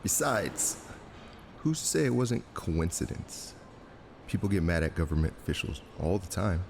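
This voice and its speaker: A man's voice: sits low, big pitch swings, normal speed, gravelly, slight background noise, full character voice. A man playing a cocky, flippant strike team agent in a drama, mocking and offhand. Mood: smug. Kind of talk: dramatic dialogue.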